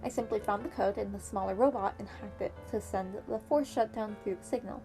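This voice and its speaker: A woman's voice: mid-range voice, brisk, natural voice. Woman reading as an earnest teenage schoolboy. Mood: confident, keen. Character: earnest, clever teenage boy student hiding his trauma. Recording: piano music behind the voice.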